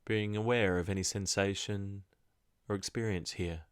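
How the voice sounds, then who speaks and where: A man slowly guiding a body scan meditation in a soft, calm voice. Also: lowish voice, smooth, dry recording, studio quality. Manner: slow, soft, calm instructions. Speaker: meditation guide. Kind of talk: guided meditation.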